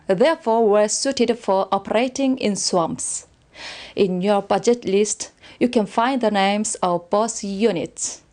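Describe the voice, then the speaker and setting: A woman's voice: mid-range voice, darkish tone, close to an everyday voice. A woman playing a loyal, formal weapons scientist of an empire, giving orderly briefings in a game cutscene.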